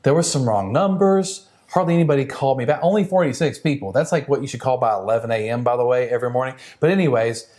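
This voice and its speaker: A man's voice: mid-range voice, animated, fast talker, hardly any pauses, a little mellow. Encouraging male sales coach, mid-range voice. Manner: encouraging, emphatic, addresses listener directly. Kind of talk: team training session, conversational instruction.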